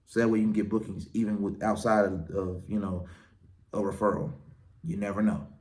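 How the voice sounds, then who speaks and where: A man's voice: on the low side, little pitch movement, a little fast, darkish tone. A man who works as a wedding and event DJ, hosting his own show and giving casual, confident advice with a strong, projecting voice. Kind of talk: casual advice talk to camera.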